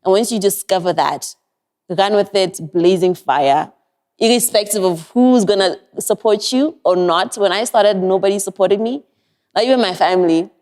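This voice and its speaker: A woman's voice: mid-range voice, moderate pace, darkish tone. A young woman with an American-sounding accent, giving earnest, reflective advice as a guest on a podcast interview.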